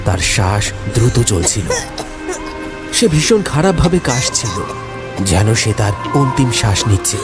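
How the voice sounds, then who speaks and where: A man tells a scripted horror tale with theatrical suspense. His medium-pitched voice moves in animated swings, and loud music runs underneath. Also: unhurried. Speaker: horror story narrator. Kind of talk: dramatic scripted horror narration.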